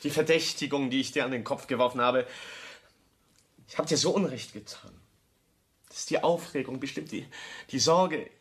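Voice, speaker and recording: An actor playing an honest, self-doubting young architect in a radio drama, speaking in a halting, pleading way. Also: male voice, mid-range voice, lightly acted. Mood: agitated, apologetic. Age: young adult. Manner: halting, repeats phrases, pleading. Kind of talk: German radio play acting, intimate dialogue.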